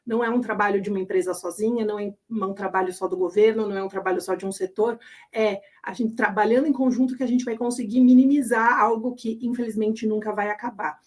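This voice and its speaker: A woman's voice: medium pitch, steady tone, fast, a little mellow. Female panelist, Brazilian Portuguese accent, earnest and conversational, self-corrects. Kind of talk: panel remarks.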